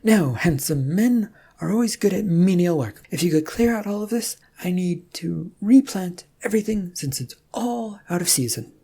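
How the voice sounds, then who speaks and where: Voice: medium pitch, expressive, moderate pace, hardly any pauses, dark tone, slightly rough, pitched above the performer's own voice. A storybook narrator voicing a bossy, combative princess with an imperious, theatrical manner. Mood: haughty, demanding. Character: bossy, troubled, combative princess in torn dress.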